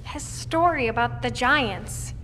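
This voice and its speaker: Audio drama daughter female actor: young, high-pitched, earnest and pressing, in a reverberant room. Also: lively intonation, takes it slowly, pushed and bright, exaggerated voice. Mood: curious. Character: earnest daughter of the captain, gently insistent. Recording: reverberant room with faint noise.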